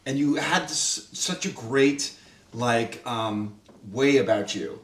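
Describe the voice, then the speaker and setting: Laughing, animated man in a roomy space. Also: medium pitch, slow pace. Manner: animated, laughing often, many fillers. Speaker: host, director of the radio play. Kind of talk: casual roundtable chat. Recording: roomy sound, mic at a distance.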